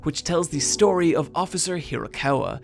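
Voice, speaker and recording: A man's voice: fairly high pitch, lively intonation, moderate pace, creaky. A man narrating a scripted video essay, with dry, wry asides. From Britain. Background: soft background music.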